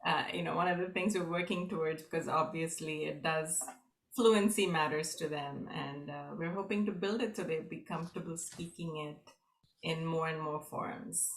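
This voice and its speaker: A woman's voice: low-pitched, steady tone, moderate pace, low-quality recording. A woman language teacher giving friendly, casual remarks over a video call, with fillers. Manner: friendly, with light laughs and fillers. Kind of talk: casual remarks in a virtual meeting.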